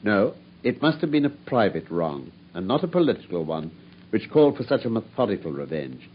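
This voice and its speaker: An actor playing a coolly brilliant detective, explaining his deductions in a precise, measured way. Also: fairly low pitch, sing-song, slight background noise. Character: coolly brilliant, self-assured male detective. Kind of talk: 1950s radio drama acting. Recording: dull lo-fi old radio broadcast.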